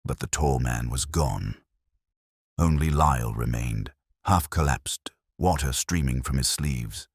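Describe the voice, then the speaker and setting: Very deep, husky male mystery narrator. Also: measured pace, no room sound, clean studio sound. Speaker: audiobook narrator. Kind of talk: dramatic scripted mystery narration.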